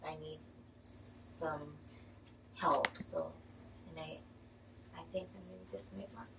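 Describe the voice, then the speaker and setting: A woman's voice: sits low, animated, slow pace, vocal fry. An unwell woman speaking hesitantly to a class about seeking help, with nervous little laughs. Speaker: class participant. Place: clinic room. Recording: roomy, distant mic, faint noise.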